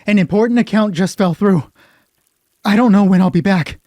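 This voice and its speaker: A man's voice: high-pitched, moderate pace, darkish tone, dry recording, studio quality, voice pushed up, close to an everyday voice. A man playing a distracted, defensive career woman in an audio drama, curt and guarded. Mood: firm, tense.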